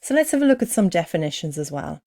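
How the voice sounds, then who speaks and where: A woman's voice: fairly low pitch, fast. An Irish woman in her thirties, a business coach, giving an encouraging teaching talk with some fillers. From Ireland. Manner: steady, encouraging, uses fillers. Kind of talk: presentation to camera.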